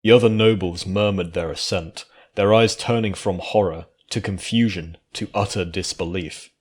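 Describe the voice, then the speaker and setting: A man's voice: low voice, normal speed, a little mellow, breathy edge. A man reading scripted fantasy fiction as its narrator. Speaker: story narrator.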